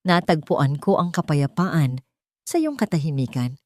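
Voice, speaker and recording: Casting: woman narrator as wealthy romantic male lead, earnest and emotional, slow intimate delivery, dry close sound. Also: low voice, very animated, darkish tone, no room sound. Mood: loving, intimate. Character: earnest, tender wealthy man confessing hidden love. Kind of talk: dramatic romance audio story.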